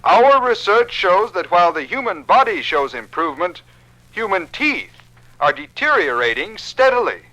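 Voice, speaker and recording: An actor playing an earnest research doctor in a 1950s radio drama, formal and emphatic. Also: male voice, high voice, sing-song, moderate pace, bright, forceful tone, no echo, exaggerated voice, rough recording. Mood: grave, concerned. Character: earnest male dental research doctor reporting findings.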